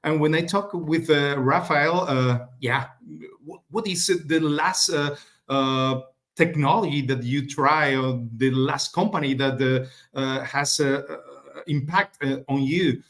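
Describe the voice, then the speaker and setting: Hesitant doctor on a video call. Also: male voice, on the high side, slow, a bit bright. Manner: hesitant, non-native phrasing. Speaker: doctor, guest. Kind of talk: casual video call interview.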